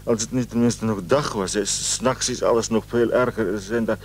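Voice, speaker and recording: A man's voice: lowish voice, fairly even intonation, brisk, natural voice. An actor playing an anxious, ailing husband in a radio drama, stammering and hesitant as he frets. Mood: worried, helpless. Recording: noisy old radio play recording.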